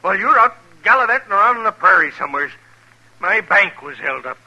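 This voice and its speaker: An actor playing an agitated, indignant frontier bank owner in a western radio drama, with an American accent and a fretful, scolding manner. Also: male voice, high-pitched, big pitch swings, a little fast, bright, forceful tone, breathy edge, muffled recording, full character voice.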